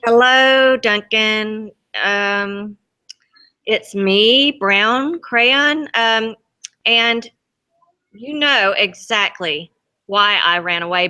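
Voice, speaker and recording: A woman's voice: medium pitch, bright, forceful tone, clean tone. A woman voicing a resentful runaway brown crayon from a storybook, hesitant and sarcastic. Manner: hesitant, sardonic, pointed.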